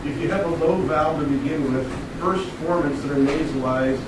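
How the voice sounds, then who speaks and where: A man's voice: fairly high pitch, brisk. A man in a lecture audience asking a probing technical question, thinking aloud as he goes. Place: lecture room. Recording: very echoey room, far from mic, loud hiss.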